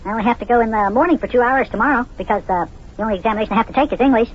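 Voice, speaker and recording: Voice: mid-range voice, rapid-fire, breathy edge, lightly acted. An actor voicing an eager, chatty little boy elf with a chirpy, polite manner in an old children's radio serial. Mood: eager, matter-of-fact. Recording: muffled, lo-fi old radio recording.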